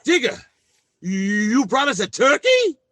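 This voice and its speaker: An actor playing an excitable, easily indignant working-class family man in a radio comedy, stammering in folksy, ungrammatical speech. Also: extremely high pitch, slow, very noisy, exaggerated voice. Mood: hearty, relieved. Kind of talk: old-time radio comedy acting.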